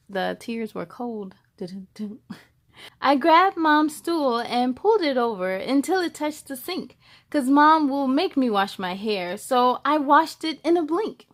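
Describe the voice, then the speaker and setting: A woman hosts a children's storytime in a high voice. She reads at an unhurried, slow pace with animated expression, slipping in casual, wondering asides for young listeners. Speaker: storytime host reading a children's picture book.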